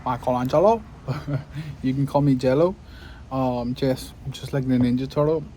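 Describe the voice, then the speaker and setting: A man's voice: medium pitch, measured pace, dark tone, a little hiss or hum. A man, a Spanish speaker, musing thoughtfully in a casual monologue to camera and often searching for his words. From Venezuela. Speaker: podcaster and video creator.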